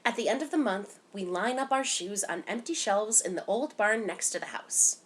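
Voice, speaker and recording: A woman reading a picture book aloud to children in an expressive, animated way, giving emphasis to the dialogue. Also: mid-range voice, moderate pace, husky. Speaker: children's story time reader.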